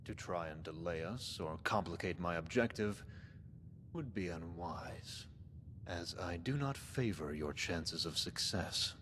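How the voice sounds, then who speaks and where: Deep, reverberant, pompous zealot overlord. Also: male voice, animated, fairly bright tone, slight background noise. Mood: cold, threatening. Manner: pompous, archaic, deliberate speech. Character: cold menacing zealot overlord of a post-apocalyptic cult. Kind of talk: scripted game dialogue, dramatic monologue.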